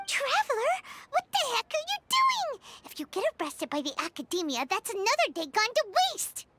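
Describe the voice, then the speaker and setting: A woman voicing a small, excitable childlike fairy companion in a video game, chatty, blunt and exclamatory. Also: very high voice, normal speed, noisy background, exaggerated voice. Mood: indignant. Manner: exclamatory, expressive.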